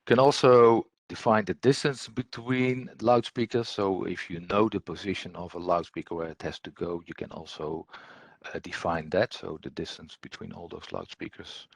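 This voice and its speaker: Male software instructor, deep and husky, hesitant unscripted walkthrough delivery, dry recording. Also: fairly even intonation, dry recording. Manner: halting, hesitant delivery with repeated words. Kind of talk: unscripted screen-share software tutorial.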